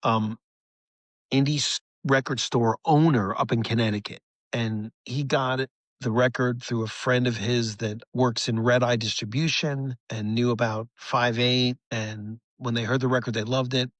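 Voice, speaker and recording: A middle-aged man with a mid-pitched voice talks casually as an interview guest. He is enthusiastic and rambles, breaking off and restarting as he tells things. The sound is dry, with very little room in it. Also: no room sound. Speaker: rock musician, podcast guest.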